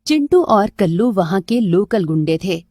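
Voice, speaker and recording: A woman's voice: medium pitch, big pitch swings, a little slow, warm tone, no echo, studio quality. A woman narrating a scripted story as a storyteller.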